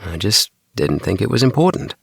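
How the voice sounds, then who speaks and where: A man's voice: fairly low pitch, very animated, moderate pace, creaky, fairly bright tone, slightly rough, dry recording, clean studio sound, pitched above the performer's own voice, exaggerated voice. A man voicing an earnest, defensive child inventor with an insistent way of talking, in a children's audiobook. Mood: puzzled. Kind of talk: children's audiobook character dialogue.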